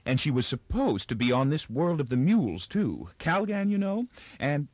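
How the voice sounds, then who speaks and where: A man voicing a bright-eyed, gossipy young female accountant in a storybook, gushing in eager chatter. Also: a little high, big pitch swings, moderate pace, dry recording, voice pushed up. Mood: gushing, excited.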